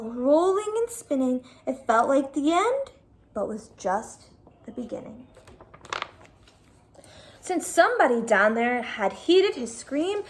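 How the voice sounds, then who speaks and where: Animated high-voiced woman reading a story. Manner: animated, dramatic storytelling delivery. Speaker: schoolteacher reading to students. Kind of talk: expressive picture-book read-aloud with casual asides.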